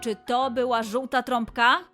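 A woman presenting a children's quiz as host, posing questions and answers in a clear way for young listeners. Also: fairly high pitch, level tone, unhurried, music in the background.

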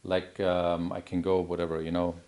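A middle-aged man giving a live product demo in a halting, explanatory manner. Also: low-pitched, completely flat delivery, a little mellow. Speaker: product demonstrator.

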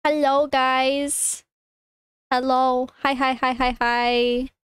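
A young woman on a live stream with a high, clean voice that sounds a little stuffy and congested. She talks slowly and chattily, greeting viewers by name and stumbling over her words. The recording is dry and close. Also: level tone, clear, resonant tone, no echo. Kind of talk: casual stream chat.

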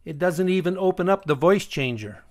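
A man with a slightly high voice presents a software tutorial. He explains each step in a measured, instructional way, as screencast narration. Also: lively intonation.

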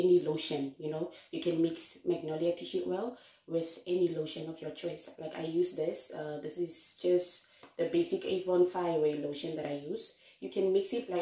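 A young woman from Namibia vlogging at home, talking softly and casually into a close phone camera, her voice deep and rough from a flu. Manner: soft-spoken, often near a whisper, relaxed. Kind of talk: casual vlog chat. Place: bedroom indoors.

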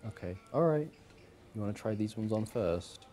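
A young man performing casual ASMR boyfriend roleplay as an easygoing, affectionate partner, talking in a hesitant, halting way. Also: fairly low pitch, lively intonation, warm tone. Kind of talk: ASMR boyfriend audio roleplay, casual one-sided chat. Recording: clearly audible background noise.

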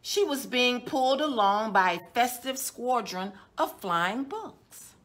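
Slow, higher-voiced woman reading a storybook. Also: expressive, fairly bright tone. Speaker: children's librarian and storytime host. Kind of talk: storybook read-aloud for children. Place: indoors, small decorated room.

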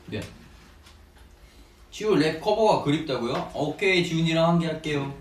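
A young man with an easygoing manner, reading out viewer comments and answering them between bites. His pitch moves a lot, and the sound is distant and roomy with a light hiss. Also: lively intonation, moderate pace. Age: young adult. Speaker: idol group member hosting a livestream. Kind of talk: casual chat while eating. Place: small indoor office room, seated at a table. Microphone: fixed camera across the table.

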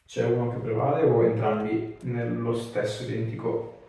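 A man's voice: medium pitch, level tone, normal speed, creaky, warm tone. A young man, a chiropractor talking casually as he examines and instructs a patient. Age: young adult. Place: small tiled treatment room indoors. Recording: very echoey room, distant mic.

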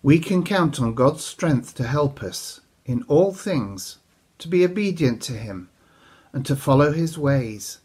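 A man's voice: a little high, expressive, measured pace, darkish tone, husky. An older man reading a Bible passage aloud as a church reader, recorded in a room at home. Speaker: scripture reader.

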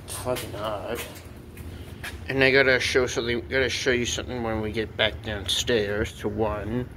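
A man's voice: mid-range voice, moderate pace. Casual man filming echoey elevator rides. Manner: offhand, excitable, swears casually. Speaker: elevator fan vlogger. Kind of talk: casual handheld commentary. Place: parking garage elevators and decks, indoors and out. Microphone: handheld camera. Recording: echoey with loud background noise.

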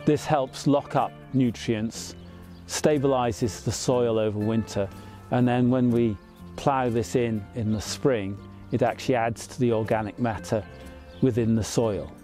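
A man in his fifties, a farmer, explaining his farm practices in a measured, plain way, outdoors in an open field. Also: mid-range voice, steady tone, warm tone, soft background music. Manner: measured, plain explanatory talk with small fillers.

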